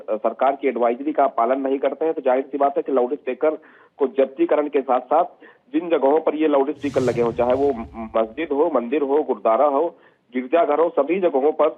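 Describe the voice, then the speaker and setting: A man giving a live, unscripted news report as a field reporter, halting and repetitive with stock phrases. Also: mid-range voice, husky. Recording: tinny phone-like live link.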